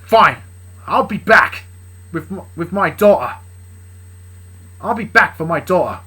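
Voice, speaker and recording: A woman voicing a hot-headed, combative male imp who shouts and taunts. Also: fairly low pitch, animated, a little slow, husky, heavily acted. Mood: resentful.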